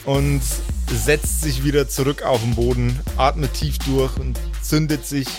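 A man's voice: medium pitch, steady tone, unhurried, over music. A man improvising as game master of a tabletop roleplay, playful and sprinkling in English slang. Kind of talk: improvised narration and banter.

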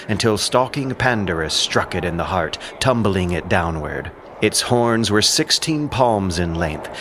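A man narrating an ancient epic as a scripted audio drama, solemn and declamatory. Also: on the low side.

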